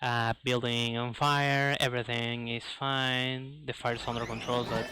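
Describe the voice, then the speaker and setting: A man's voice: medium pitch, flat delivery, a little slow, very noisy. A man giving live speedrun commentary in non-native English phrasing, talking through what he is doing as he plays. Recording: game music behind the voice. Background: loud video game music.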